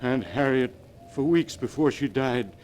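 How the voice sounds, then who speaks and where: Male actor as grave, fearful uncle, mid-pitched, 1940s radio melodrama with faint background noise. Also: lively intonation, a little slow, a little mellow, close to an everyday voice, rough recording. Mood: haunted, fearful. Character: haunted, fearful middle-aged uncle, dreading madness. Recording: old radio recording, clear noise.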